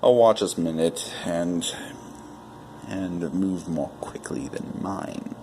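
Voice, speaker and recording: A man playing a nervous, unhinged madman in a theatrical horror monologue, with halting, drawn-out phrasing. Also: low-pitched, dark tone. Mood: hushed, gloating. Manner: halting, drawn-out phrases, sudden whispers and cackles. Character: unhinged, nervous madman confessing murder, insisting he is sane. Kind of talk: theatrical dramatic reading of gothic horror monologue. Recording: noisy amateur one-take home recording.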